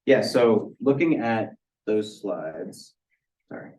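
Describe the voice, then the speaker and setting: A young man, a university student, explaining technical work in measured answers during a project review. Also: lowish voice, expressive, a little mellow, low-quality recording. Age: young adult.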